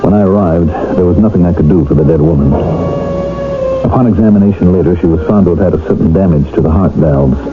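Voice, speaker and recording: Actor as a low-voiced, world-weary doctor narrator. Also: male voice, fast talker, music in the background, lightly acted. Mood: grave, reflective. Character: world-weary middle-aged male doctor narrating his tale. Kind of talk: radio drama acting, storytelling. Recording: dull lo-fi old radio broadcast.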